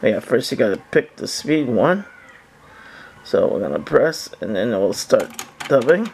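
A man giving a casual, halting product demonstration as a seller, often repeating himself, recorded indoors on a handheld camera. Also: mid-range voice, sing-song, gravelly. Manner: halting, repetitive, plain-spoken. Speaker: online seller demonstrating an item. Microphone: handheld camera, speaker off screen behind it.

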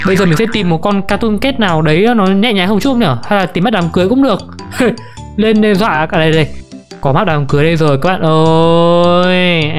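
A young woman giving casual, playful game commentary to her viewers, giggly and often repeating words in quick bursts. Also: fairly low pitch. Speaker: gaming video creator. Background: music.

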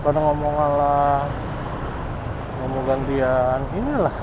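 A man's voice: on the high side, takes it slowly. A man chatting and joking to the camera while riding a delivery motorbike through city traffic. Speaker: motorbike delivery driver vlogger. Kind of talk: casual moto-vlog chat. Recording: dull lo-fi audio with traffic noise.

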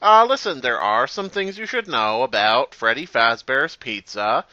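A man playing a nervous, rambling pizzeria employee in a parody horror-game phone message, halting and falsely reassuring, on a cheap telephone-like microphone. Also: mid-range voice, animated, pushed and bright, no echo. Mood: rambling, matter-of-fact. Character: nervous, rambling phone-message guy briefing a doomed night guard. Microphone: cheap replacement microphone.

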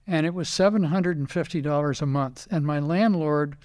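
A man's voice: on the high side, normal speed, darkish tone. An older American man reminiscing casually in an interview, telling anecdotes and acting out remembered dialogue. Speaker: retired racing driver and team owner, interview guest.

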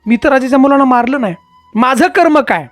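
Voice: sits high, lively intonation, fairly quick, dry recording, faint music behind, pitched above the performer's own voice. A storyteller voicing a devout, aggrieved Brahmin man, pleading and challenging with questions.

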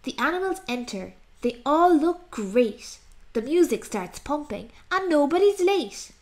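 A woman narrates a rhyming picture book for children. Her voice is high and very animated, and she takes her time, leaning on key words for dramatic effect. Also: unhurried. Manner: expressive, dramatic emphasis. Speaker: storybook narrator.

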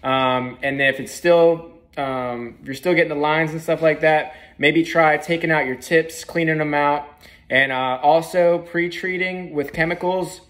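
A young man in his twenties talks straight into a handheld phone from an indoor room, explaining how he runs his pressure washing jobs. His voice sits slightly high for a man, and his delivery is easygoing and unpolished, dotted with ums and uhs. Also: unhurried. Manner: relaxed, plain-spoken, frequent ums and uhs. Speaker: pressure washing business owner. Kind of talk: casual how-to vlog. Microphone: phone camera at arm's length.

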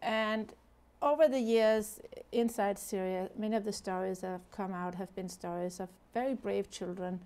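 A woman's voice: medium pitch, steady tone, normal speed, hardly any pauses, a little mellow, clear, resonant tone. A woman from Denmark with a Danish accent, speaking as a guest in an earnest studio interview, with many fillers and restarts. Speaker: charity founder, guest. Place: TV studio set.

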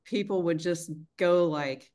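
A woman's voice: lowish voice, slow, a little mellow, clear, resonant tone. A middle-aged woman with a mild Texan accent, chatting casually and reflectively on a video call. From rural Texas, United States. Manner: reflective, informal, frequent "like". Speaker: interviewer, host. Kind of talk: casual chat. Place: small indoor room, video call. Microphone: video call, desk distance.

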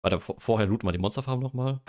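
A man's voice: fairly low pitch, very fast, darkish tone. A young man streaming a game, chatting casually and joking while he reads quiz questions aloud and muses over his answers. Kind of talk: casual livestream chat while gaming.

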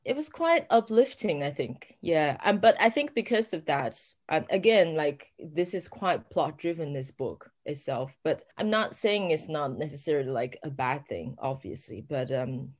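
A woman's voice: low-pitched, expressive, normal speed, few pauses, a little mellow. A woman author, a native Chinese speaker, talking in a hesitant, casual way with many fillers and frequent laughs. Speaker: author guest. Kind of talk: casual conversation.

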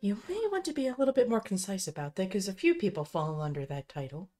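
A woman's voice: medium pitch, animated, quick pace, mellow, faint music behind. A woman narrating an improvised tabletop roleplay scene as one of its players.

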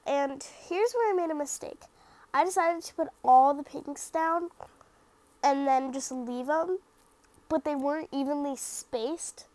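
A young woman with a high voice talks through her craft project in a loose, rambling voice-over. She is a little stuffy from a cold and keeps doubting her work, filling her sentences with "like" and "um.". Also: a little slow, a little mellow, no echo. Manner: rambling, self-critical, lots of "like" and fillers. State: slightly stuffy from the sniffles. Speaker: craft vlogger. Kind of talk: casual voice-over narration of a craft video.

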